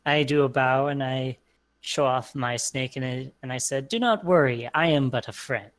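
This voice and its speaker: A man's voice: mid-range voice, normal speed. A man playing a character in a tabletop game, polite and formal when in character. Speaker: player. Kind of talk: casual in-character roleplay.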